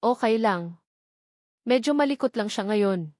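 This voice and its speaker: Woman voicing a guarded, soft but steady expectant mother. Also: mid-range voice, unhurried, no echo, studio quality, lightly acted. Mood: gentle.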